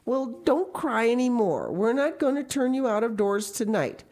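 A woman voicing a stern, practical middle-aged spinster who speaks briskly and dryly. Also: fairly high pitch, expressive, darkish tone, voice pushed up. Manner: brisk, no-nonsense, dry.

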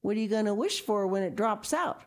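A woman voicing a patient, gentle father who talks in a calm, kindly way. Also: mid-range voice, brisk, voice pushed up, lightly acted.

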